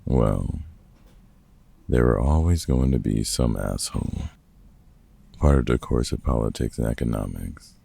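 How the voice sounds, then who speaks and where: A man playing a gruff but kind-hearted veteran vampire hunter in a comfort audio roleplay, talking casually and swearing freely in a gravelly, husky voice. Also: extremely low pitch, dark tone, exaggerated voice. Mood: warm, wry. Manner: gruff, sweary, casual mentor talk with pauses.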